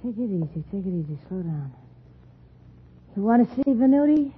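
An actress playing an unruffled, teasing woman in a 1950s radio thriller, unhurried and coolly taunting. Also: mid-range voice, big pitch swings, slightly rough. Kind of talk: 1950s radio drama acting. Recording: muffled tinny 1950 radio recording.

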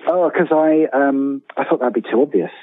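A man's voice: medium pitch, moderate pace, no echo. Man on a tinny phone-like line. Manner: hesitant, self-deprecating, wry. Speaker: guest, writer of books and audio dramas. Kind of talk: casual interview chat.

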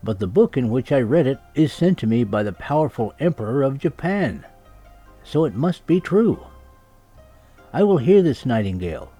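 A man's voice: mid-range voice, big pitch swings, normal speed, mellow, faint music behind, pitched above the performer's own voice. A man voicing an imperious, easily affronted emperor in a storybook, pompous and insistent. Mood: insistent, threatening.

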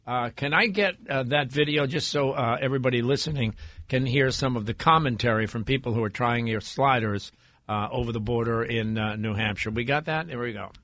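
A man's voice: mid-range voice, fairly quick, fairly bright tone, a little hiss or hum. A man hosting a radio talk show in a casual interviewing style, speaking into a studio microphone. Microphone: close studio microphone.

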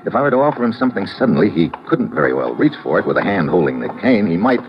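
Hard-boiled American male agent, very low voice, lively intonation, wry, terse, slangy, vintage radio drama. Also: quick pace. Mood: tense narration. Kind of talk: 1950s radio drama acting with first-person narration. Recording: dull old 1950s radio recording.

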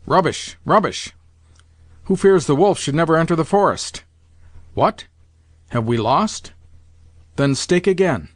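Audiobook role: bossy, excitable elderly grandmother, played by a man, raised pitch, impatient and commanding. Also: unhurried, pauses often, no echo. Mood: impatient, scornful. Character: imperious, excitable old Russian grandmother addicted to roulette. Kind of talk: audiobook character voice.

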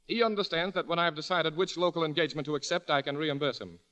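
A man's voice: fairly high pitch, fast, fairly bright tone, natural voice. An actor playing a pompous, penniless male ham actor with grandiloquent speech in a 1940s radio comedy. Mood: smooth, ingratiating. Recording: muffled old radio broadcast.

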